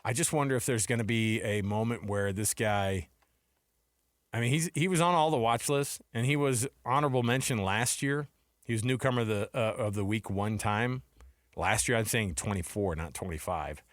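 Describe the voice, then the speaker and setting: A man's voice: fairly low pitch, moderate pace, no room sound, studio quality. An American man co-hosting sports talk radio, chatting casually and bluntly in slang, often tossing out questions. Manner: conversational, opinionated, slangy, throws out questions.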